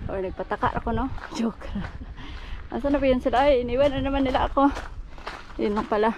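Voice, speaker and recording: A woman's voice: high-pitched, fairly quick, a little mellow, a little hiss or hum. Chatty woman vlogging outdoors. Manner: chatty, laughing, mixes Tagalog and English. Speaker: vlogger filming family trip. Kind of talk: casual travel vlog chat. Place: outdoors at a castle ruin.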